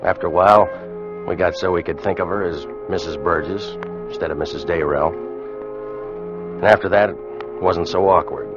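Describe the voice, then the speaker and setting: A man's voice: low voice, steady tone, moderate pace, long pauses, natural voice. An actor playing a plain-spoken, uneasy ship's officer who tells his tale in an old-time radio drama. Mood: brooding narration. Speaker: narrator and lead character. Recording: muffled vintage radio broadcast, music.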